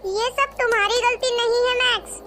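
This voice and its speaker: A woman as squeaky, very high cartoon girl. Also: fairly even intonation, normal speed, noisy background. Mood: earnest. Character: worried young woman lost in haunted forest. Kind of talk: Hindi cartoon horror story acting. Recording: loud music over the voice.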